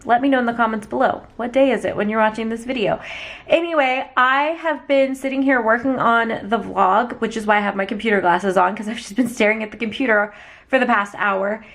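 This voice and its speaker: A young adult woman with a slightly raspy voice, chatting casually and in a rambling, conversational way to her vlog viewers. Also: a little high, brisk, a bit bright. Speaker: small business owner vlogger.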